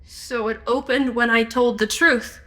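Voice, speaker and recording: An actress playing an earnest, determined amateur investigator in an audio drama, deliberate and intense. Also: female voice, on the high side, steady tone, a bit bright. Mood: puzzled. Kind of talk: supernatural comedy audio drama acting.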